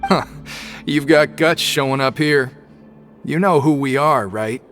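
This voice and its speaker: A man's voice: a little high, measured pace, a bit bright, soft background music. A man playing a tough, cocky and loyal prison gang member in a video game, with a swaggering streetwise manner. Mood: menacing.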